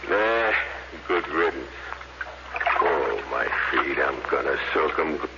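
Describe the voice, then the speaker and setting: A man's voice: rumbling low, lively intonation, slow, full character voice. An actor playing a crude, scornful, lazy space crewman, with coarse slangy American speech in old radio drama style. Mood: griping, scornful. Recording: muffled noisy old radio recording.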